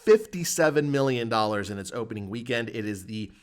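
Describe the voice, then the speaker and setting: A man with an average-pitched voice hosts a loose comedy podcast. He talks casually and asks his questions deadpan, keeping the banter moving. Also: a little fast. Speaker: podcast host.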